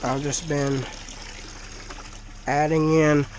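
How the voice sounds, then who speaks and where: Congested man, slow drawl, slightly high voice, faint background hum, body-worn camera mic. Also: animated, poor audio. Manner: folksy, chatty. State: congested, talking through a blocked nose. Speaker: fish-keeping vlogger. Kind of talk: casual vlog to camera. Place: dim cinder-block garage or shed, indoors. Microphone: action camera worn by the speaker.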